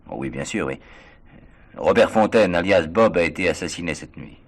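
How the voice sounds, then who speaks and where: A man acting a shrewd police commissioner in a French 1970s police drama, questioning in an unhurried, pressing way. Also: deep voice, lively intonation. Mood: businesslike.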